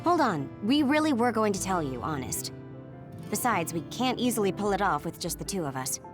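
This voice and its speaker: A woman acting a savvy young hacker in an English anime game dub. She speaks at a middling pitch with a blunt, sardonic, casual edge. Music plays clearly behind her. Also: big pitch swings, a little fast, close to an everyday voice. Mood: earnest.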